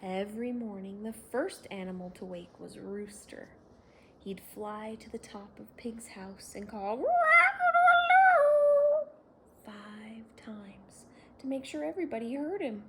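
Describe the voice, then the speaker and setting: A woman reads a children's bedtime story slowly, in a fairly low voice for a woman. Her phrasing is gentle and expressive, made for young listeners. There is clear background noise on the recording. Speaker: children's story reader and host.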